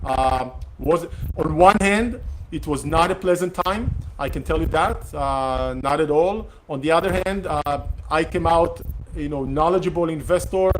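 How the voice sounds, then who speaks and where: A middle-aged man whose first language is Hebrew, hosting a casual live question-and-answer session, talking haltingly with many fillers and restarts. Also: fairly high pitch, a little hiss or hum, low-quality recording. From Israel. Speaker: real estate investor hosting a Q&A session. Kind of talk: casual live-streamed talk.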